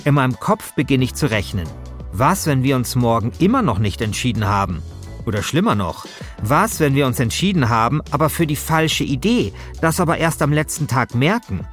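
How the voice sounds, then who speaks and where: A man's voice: mid-range voice, sing-song, moderate pace. A man narrating a scripted first-person story as podcast host, in speech with a wry, dramatizing manner. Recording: music behind the voice. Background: music.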